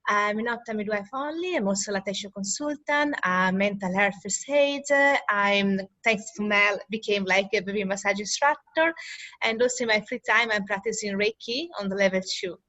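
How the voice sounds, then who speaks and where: A woman's voice: medium pitch, pushed and bright, no room sound, dull audio. Italian-accented woman midwife, earnest. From Italy. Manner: earnest, laughs often, halting non-native grammar. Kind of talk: casual panel chat.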